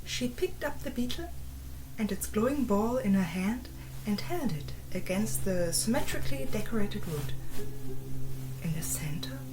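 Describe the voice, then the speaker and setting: Slow, hushed woman narrating an audiobook. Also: medium pitch, animated, background noise. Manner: soft, breathy, hushed. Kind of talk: scripted narration. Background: faint music.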